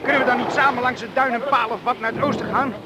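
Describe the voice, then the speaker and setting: A man's voice: very high voice, fairly even intonation, quick pace. An actor playing an eager, loyal young village crewman of a lifeboat in a radio play. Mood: eager, insistent. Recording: loud storm and vehicle-like noise.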